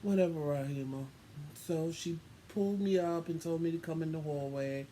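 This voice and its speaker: A man's voice: fairly high pitch, steady tone, normal speed, mellow. A man telling a personal story casually, in a dry, sassy, unimpressed way, acting out bits of remembered dialogue. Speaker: co-host telling a couple's story. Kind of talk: casual storytelling with acted-out quotes. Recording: noisy room ambience.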